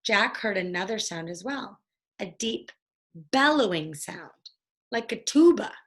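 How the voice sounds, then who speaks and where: A woman reading a children's story aloud in a deliberate, expressive storytelling manner. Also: medium pitch. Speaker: storybook reader.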